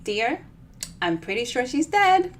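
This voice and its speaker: Woman putting on a chirpy, high-pitched cheery old lady. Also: sing-song, slow, a little hiss or hum, heavily acted. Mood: chipper, on phone. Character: cheery, spry elderly Texan lady, gleefully unbothered.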